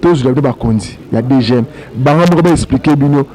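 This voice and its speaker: A reporter introduces the story and briskly questions an official. His voice is mid-pitched with a mellow, dark tone. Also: low-quality recording. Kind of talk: news report and interview.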